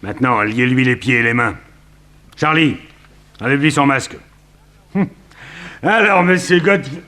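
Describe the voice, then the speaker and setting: Actor playing a bright, forceful gangster boss. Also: male voice, medium pitch, moderate pace, natural voice. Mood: gloating, bossy. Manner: bossy, threatening, theatrically exasperated. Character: menacing, excitable male gang boss. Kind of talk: 1960s French radio crime serial acting.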